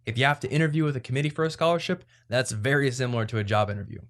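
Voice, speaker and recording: A man's voice: mid-range voice, fairly even intonation, racing. A man hosting an advice podcast, talking in a casual, conversational way as he explains things. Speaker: podcast host.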